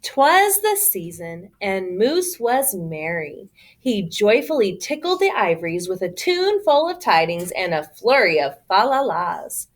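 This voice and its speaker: A woman reading a children's picture book aloud as a storyteller, with an expressive, emphatic, theatrical delivery. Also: medium pitch, unhurried.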